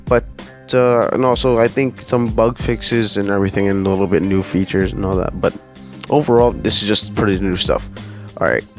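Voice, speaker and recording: A man's voice: on the low side, steady tone, normal speed, soft background music. A man hosting a gaming channel, casually reading through patch notes and rambling with many fillers and restarts.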